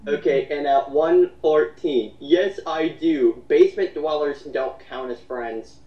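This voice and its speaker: Muffled, distant man giving mocking commentary. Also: a little high. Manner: derisive, sweary, halting. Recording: muffled roomy recording, distant mic.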